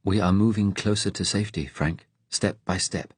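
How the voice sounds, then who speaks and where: A man narrating an audiobook, voicing a calm, direct resistance woman with a serious, measured manner. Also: sits low, breathy edge, no room sound. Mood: calm, gentle. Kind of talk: audiobook character dialogue.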